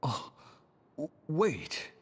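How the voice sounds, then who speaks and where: An actor playing a dazed, unsure man in a video game, speaking haltingly in a breathy, husky voice. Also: a little high, very animated, exaggerated voice. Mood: bewildered, dazed. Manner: halting, faltering.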